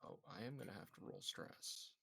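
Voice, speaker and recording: A man with a gravelly, husky voice chatting casually as a player in a tabletop roleplaying game. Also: moderate pace, pushed and bright, no echo.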